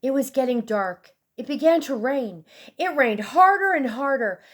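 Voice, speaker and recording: A woman reading a children's storybook aloud expressively, with deliberate, dramatic emphasis for young listeners. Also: high-pitched, lively intonation. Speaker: storybook reader.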